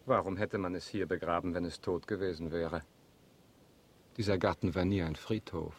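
Suave, husky, low-voiced count. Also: male voice, fairly even intonation, moderate pace. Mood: pointed, accusing. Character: suave, insinuating male count hosting guests, coolly accusing. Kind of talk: German radio drama acting.

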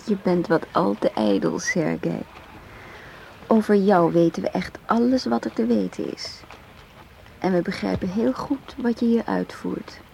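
A woman's voice: medium pitch, lively intonation, a little slow, creaky, darkish tone, poor audio. An actress playing a cool, self-assured, seductive female agent in a radio play, knowing and challenging in her talk. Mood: probing, confident. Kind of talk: radio drama acting. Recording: hissy old radio play recording.

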